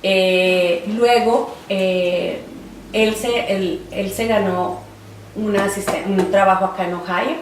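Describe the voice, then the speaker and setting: A woman's voice: mid-range voice, steady tone. Colombian woman, distant and roomy. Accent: Colombian Spanish. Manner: reflective, halting, with many fillers. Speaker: interviewee, artist. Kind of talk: oral history interview. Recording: roomy, distant mic, faint noise.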